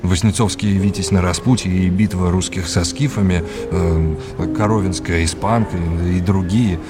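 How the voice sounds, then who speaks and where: An actor playing an erudite, middle-aged art historian and mentor, lecturing with gentle irony and old-fashioned courtesy in an audio drama. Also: male voice, sits low, fairly even intonation, moderate pace, music in the background, lightly acted. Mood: expansive, informative. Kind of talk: naturalistic audio drama acting.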